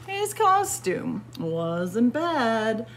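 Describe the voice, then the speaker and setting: A woman reading a children's picture book aloud indoors as a library storyteller, in an expressive, deliberate manner. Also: on the high side, a little hiss or hum. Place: indoor room with bookshelves, library.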